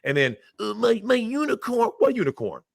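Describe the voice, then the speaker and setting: A man's voice: high voice, very animated, normal speed, gravelly, dry recording, studio quality. A man giving blunt opinions on a livestream, talking emphatically, swearing and acting out the people he quotes. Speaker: guest.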